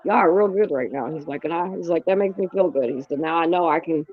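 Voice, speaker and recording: A woman's voice: fairly low pitch, quick pace, phone-quality sound. A woman in her late forties hosting a casual livestream chat, speaking frankly with lots of swearing and fillers. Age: 40s. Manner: frank and profane, talks with many fillers. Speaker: livestream host.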